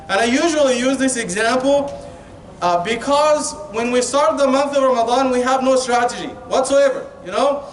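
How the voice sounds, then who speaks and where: A man with a strikingly high voice and an Egyptian Arabic accent delivers a colloquial, joking sermon. He leans on rhetorical questions and conversational fillers. The sound is echoey and distant, with slight noise behind him. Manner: informal, colloquial, rhetorical questions, frequent "you know". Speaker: Islamic preacher giving a Ramadan talk. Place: indoor Islamic centre hall. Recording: echoey room, distant mic, faint noise.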